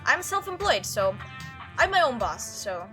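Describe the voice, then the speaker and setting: Woman voicing a high, playful, chatty small-town girl. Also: big pitch swings, a little slow, over music. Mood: joking. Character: chatty, teasing young small-town woman, joking and self-deprecating. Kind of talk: visual novel character read aloud.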